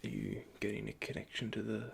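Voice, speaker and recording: A man playing a nervous, caring android repair technician in an ASMR roleplay, speaking in a soft, breathy half-whisper with hesitant stammers. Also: lowish voice, big pitch swings, fast, slight background noise, full character voice. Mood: gentle explaining.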